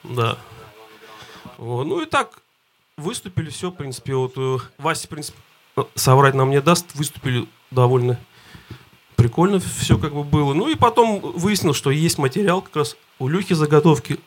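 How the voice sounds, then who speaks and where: A man's voice: fairly high pitch, expressive, normal speed. A male band member telling a rambling story with many fillers and restarts. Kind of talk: casual storytelling.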